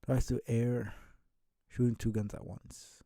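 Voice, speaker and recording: A man's voice: medium pitch, flat delivery, dark tone, slight background noise. A man reading a story aloud on a live stream, stumbling over words now and then and dropping in casual asides. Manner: halting, stumbles and rereads words.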